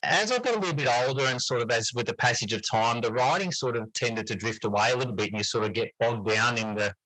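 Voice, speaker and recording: A man's voice: mid-range voice, fast talker, sharp tone, no echo, rough recording. A middle-aged man answering interview questions in a conversational, reflective and explanatory way. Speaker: interview guest, teacher and writer.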